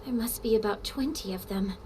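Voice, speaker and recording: A woman's voice: medium pitch, fairly quick, noisy background, close to an everyday voice. A woman voicing a composed, self-assured young squad leader in a video game, cool and probing. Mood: confident.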